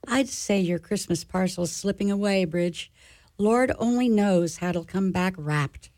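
A woman's voice: mid-range voice, a little slow, a little mellow, close to an everyday voice. A woman playing an earnest, hesitant nun in a community radio play. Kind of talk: community radio drama acting.

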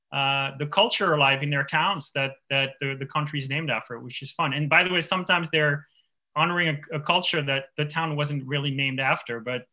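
A man from France with a very bright, forward voice that sits a little high. He talks as an author guest in a radio interview. He speaks thoughtfully, restarting often and filling pauses. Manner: thoughtful, many restarts and fillers. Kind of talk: radio interview, reflective conversation.

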